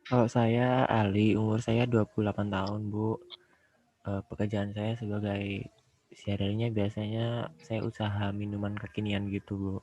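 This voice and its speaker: A man's voice: fairly low pitch, little pitch movement, moderate pace, mellow, highs cut off, natural voice. A man playing a 28-year-old drink-shop owner who introduces himself politely and a little hesitantly. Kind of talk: student counseling roleplay.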